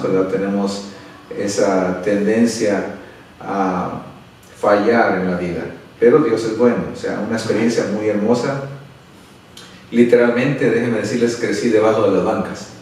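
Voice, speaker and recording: A man's voice: lowish voice, fairly even intonation, unhurried, darkish tone, slight background noise. A middle-aged man from El Salvador, a pastor talking earnestly and unhurriedly, often adding tag questions. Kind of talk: interview answers and prayer. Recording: echoey room, a bit far from the mic.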